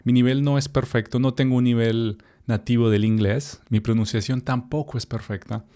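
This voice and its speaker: A young Colombian man teaches Spanish, reading a text aloud in a relaxed, chatty way. His voice sits at a middle pitch and sounds dark and mellow. He drops in fillers and friendly asides to the viewer. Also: normal speed.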